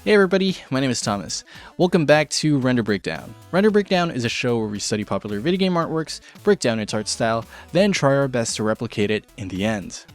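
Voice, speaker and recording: Faint music plays under a man presenting an art tutorial. His mid-pitched voice follows a loose script, and he keeps the delivery casual, slipping in playful, tongue-in-cheek remarks. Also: animated, fast. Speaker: video show host, art tutorial presenter.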